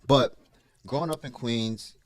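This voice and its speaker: A man's voice: medium pitch, unhurried, no echo. A man co-hosting a podcast, laid-back and slangy, asking rambling questions. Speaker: podcast co-host. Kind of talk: casual podcast chat.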